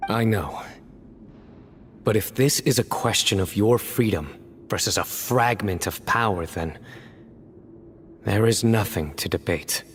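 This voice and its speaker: A man voicing a calm, stoic young warrior judge in a video game, serious and restrained, choosing words deliberately. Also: fairly low pitch, slight background noise, close to an everyday voice. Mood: grim, resolved. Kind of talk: dramatic video game voice acting.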